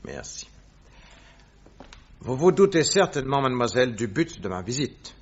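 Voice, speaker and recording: An actor playing a suave, self-assured detective posing as a royal secretary in a French radio drama, husky, formal and courteous. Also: male voice, mid-range voice, big pitch swings, normal speed. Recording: dull lo-fi radio recording.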